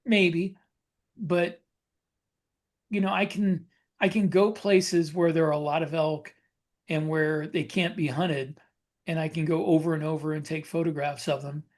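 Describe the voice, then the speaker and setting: A man with a slightly high voice talks casually as a podcast co-host. He rambles through personal anecdotes, often halting and correcting himself as he goes. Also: flat delivery.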